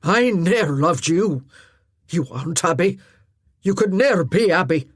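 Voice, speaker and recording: A woman voicing a menacing, unhinged Highland man, speaking slowly, coldly and loudly. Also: very animated, breathy edge, dry recording, clean studio sound, exaggerated voice.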